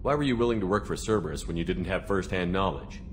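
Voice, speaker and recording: An actor playing a direct, businesslike military commander in a video game, speaking plainly and asking pointed questions. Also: male voice, deep voice, lively intonation, quick pace, a little hiss or hum. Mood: businesslike, probing. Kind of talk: video game dialogue acting.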